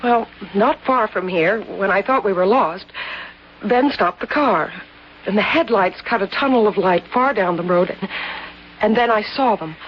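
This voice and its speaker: An actress playing an earnest young married traveller in a radio drama, speaking with dramatic sincerity. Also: female voice, medium pitch, measured pace, slight background noise, natural voice. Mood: shaken, earnest. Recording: muffled old radio broadcast.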